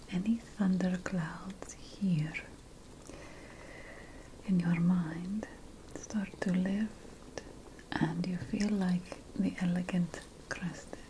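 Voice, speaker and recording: A woman playing an ancient, slyly ominous sorceress apothecary, whispering breathily in an ASMR fantasy roleplay, with deliberate dramatic pauses. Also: fairly low pitch, background noise.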